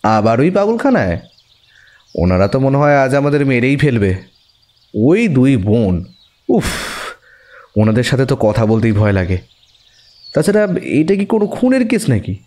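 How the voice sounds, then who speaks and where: A man's voice: medium pitch, sing-song, unhurried, long pauses, darkish tone. A man playing the detective's companion, narrating a mystery story expressively in an audio drama. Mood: puzzled, alarmed. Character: detective's male companion, first-person narrator, puzzled.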